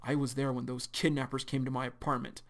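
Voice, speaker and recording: Male reader doing a brash teenage boy from a webcomic, loud and impulsive, voice gets gravelly. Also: mid-range voice, little pitch movement, quick pace, close to an everyday voice. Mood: angry. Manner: shouting, demanding.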